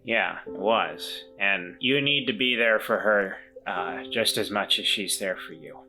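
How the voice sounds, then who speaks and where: A woman voicing a remorseful weasel man who once ran away from home, speaking in a halting, reflective way and gently encouraging others. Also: very deep voice, faint music behind, exaggerated voice, poor audio.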